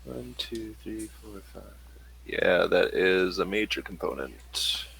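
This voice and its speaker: A man running a tabletop game as game master, narrating casually and haltingly as he thinks aloud. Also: low voice, lively intonation, creaky, a bit bright, low-quality recording.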